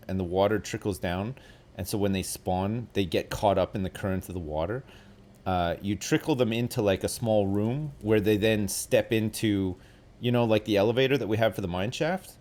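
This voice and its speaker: A man's voice: on the low side, moderate pace, a little mellow. A man in his forties hosting a casual gaming stream, explaining things in a rambling way with lots of fillers and some self-deprecation. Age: 40s. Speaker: streamer host.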